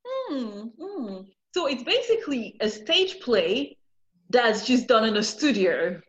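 A woman's voice: fairly high pitch, big pitch swings, takes it slowly. A woman hosting a casual conversational interview, asking questions and restating the answers to sum them up. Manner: repeats and sums up the guest's points. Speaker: podcast host, interviewer. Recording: slightly dull lo-fi sound.

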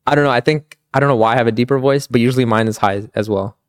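A man's voice: mid-range voice, very fast, clean tone, no room sound, clean studio sound. A young man as a podcast guest, chatting casually with lots of "dude" and "like" and frequent laughs. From Pakistan. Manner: laid-back, says "dude" and "like" a lot, laughs often. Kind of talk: casual chat.

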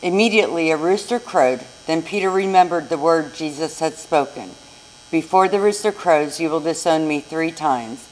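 Low-voiced American woman reading scripture, humming recording. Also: animated, normal speed, low-quality recording. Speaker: church reader, narrator of a Passion reading. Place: church service. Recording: live church recording with clear hum.

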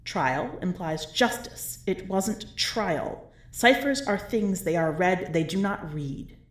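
A woman's voice: fairly low pitch, lively intonation, slow pace. A woman voicing the bleak, desperate inner voice of a doomed prisoner in terse, clipped warnings, for a story reading. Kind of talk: dramatic short story reading.

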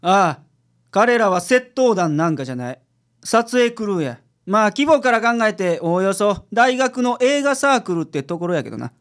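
A man's voice: high-pitched, big pitch swings, moderate pace, a bit bright, exaggerated voice. A man playing an unflappable, easygoing private detective with a Kansai accent, explaining things confidently in a radio drama. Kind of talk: community radio drama acting.

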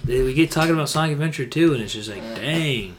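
A young man co-hosting a podcast, chatting casually about games in an excitable, emphatic, slangy way. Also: medium pitch, quick pace, over a noisy crowd. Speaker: podcast co-host.

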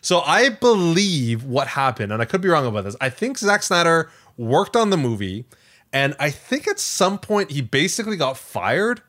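A man's voice: on the high side, normal speed. A man co-hosting a podcast in casual chat about tech and entertainment, rambling and often correcting himself. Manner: animated, rambling, self-correcting. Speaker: podcast co-host.

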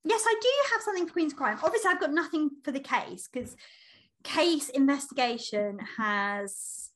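High-pitched woman, chatty podcast co-host. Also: animated, moderate pace, a bit bright. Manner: chatty, playful. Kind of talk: casual unscripted book chat.

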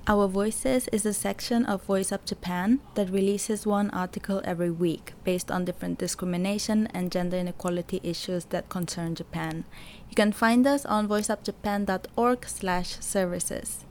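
A woman raised in Barcelona, co-hosting a podcast in an earnest, measured way. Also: medium pitch, fairly even intonation, darkish tone, a little hiss or hum. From Barcelona, Spain. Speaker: podcast co-host. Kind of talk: reading a podcast introduction.

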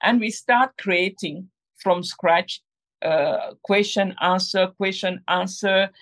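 Woman guest, middle-aged, gravelly tone, halting, lots of restarts. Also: mid-range voice, steady tone, fairly bright tone. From Cameroon. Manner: hesitant, many fillers and restarts. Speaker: guest, game inventor. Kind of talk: conversational interview.

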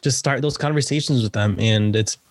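A man's voice: mid-range voice, brisk, dry recording, studio quality. A young man being interviewed about his work as an actor and writer, chatty, laughing often and using many fillers. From United States, Salvadoran family. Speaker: podcast guest, actor and writer. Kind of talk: casual interview chat.

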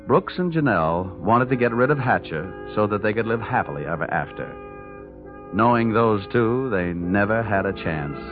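A man's voice: on the low side, animated, normal speed, close to an everyday voice. An actor playing a wisecracking male insurance investigator who narrates, in 1940s radio drama style, dry and hard-boiled. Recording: muffled old radio broadcast with music.

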